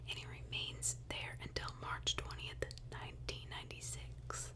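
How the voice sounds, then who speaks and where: Woman doing asmr true crime, all whispered, really slow, chatty little asides. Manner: conversational, with interjected asides and rhetorical questions.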